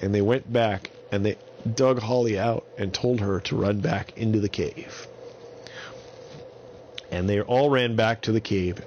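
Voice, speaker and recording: A man's voice: on the low side, a little mellow, highs cut off, slight background noise. A man telling an improvised bedtime story to small children in simple, repetitive phrases.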